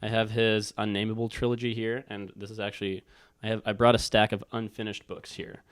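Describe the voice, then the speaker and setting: A man's voice: fairly low pitch, level tone, a little fast, clean tone, no echo, clean studio sound. A man co-hosting a casual, unscripted book chat, rambling as he thinks aloud. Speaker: book talk co-host.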